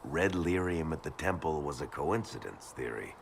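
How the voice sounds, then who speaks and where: An actor playing a wry, easygoing rogue in a video game, with a gravelly, conversational delivery. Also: male voice, very deep voice, big pitch swings, moderate pace, a little hiss or hum, heavily acted. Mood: wry concern. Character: wry, easygoing male storyteller rogue, worried but joking.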